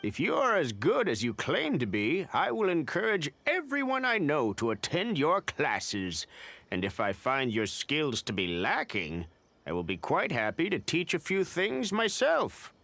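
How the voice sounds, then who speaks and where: Crusty old villager, wildly swooping voice. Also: male voice, a little high, wildly swooping pitch, a little slow, gravelly, fairly bright tone, slight background noise, heavily acted. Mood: haughty, approving. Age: older. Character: crusty, exacting elderly male villager. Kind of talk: video game voice acting.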